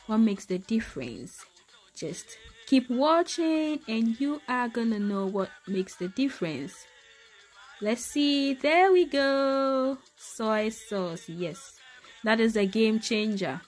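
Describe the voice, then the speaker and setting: A woman demonstrating a recipe in her home kitchen, chatty and addressing viewers as her people. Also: medium pitch. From Ghana. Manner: chatty, talks to viewers as "my people", exclaims over the food. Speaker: cooking vlogger. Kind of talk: casual cooking demonstration.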